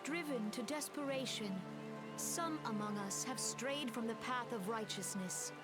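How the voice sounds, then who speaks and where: A woman's voice: on the high side, a little slow, natural voice. A woman playing a solemn, gracious leader of a forest nation, giving stately, formal oratory in a fantasy game. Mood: grave. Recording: loud music under the speech.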